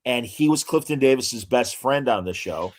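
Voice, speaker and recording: A man co-hosting a relaxed podcast with a mid-range voice. He works through actor credits with many hesitant pauses. He breaks off now and then into showman asides to the listeners. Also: mid-range voice. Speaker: podcast co-host. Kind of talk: casual podcast chat.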